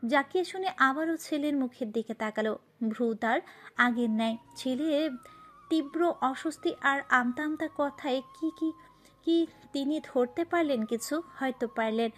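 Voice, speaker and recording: A woman's voice: high-pitched, a little slow, fairly bright tone. A woman narrating a romantic story from a script.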